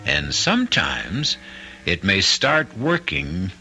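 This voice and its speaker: A man hosting and narrating an old-time radio mystery with dramatic, deliberate phrasing. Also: mid-range voice, wildly swooping pitch, creaky, bright, forceful tone, slight background noise, low-quality recording. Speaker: host and narrator.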